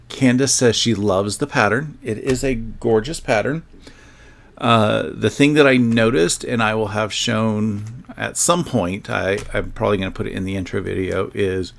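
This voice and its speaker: A man's voice: lowish voice, gravelly. A man hosting a sewing livestream, chatting casually to his viewers in a rambling, self-joking way.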